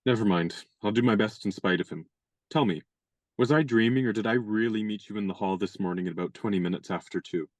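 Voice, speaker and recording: An actor playing a genial, gallant young gentleman adventurer in a radio play, courteous and wry in measured speech. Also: lowish voice, natural voice.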